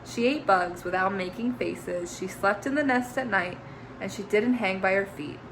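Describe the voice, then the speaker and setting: A woman teacher reading a children's picture book aloud, expressive, with chatty asides to young listeners. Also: medium pitch, normal speed, background noise.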